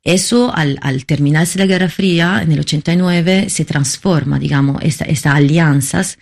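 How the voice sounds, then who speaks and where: A woman from Italy, a filmmaker who is not a Spanish speaker, answering interview questions in a halting way, often searching for words and restarting. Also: low voice, vocal fry. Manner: halting, searching for words, many repeated starts. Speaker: documentary filmmaker, interview guest.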